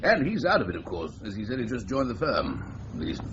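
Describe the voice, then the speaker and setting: A man playing a brisk police chief. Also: lowish voice, huge pitch swings, noisy background. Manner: brisk, clipped, briefing tone. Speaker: senior police officer. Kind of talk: radio drama acting. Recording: dull lo-fi radio recording.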